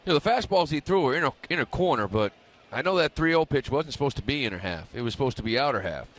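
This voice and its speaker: A man's voice: mid-range voice, lively intonation, fast. A man giving informal live baseball analysis as a radio colour commentator.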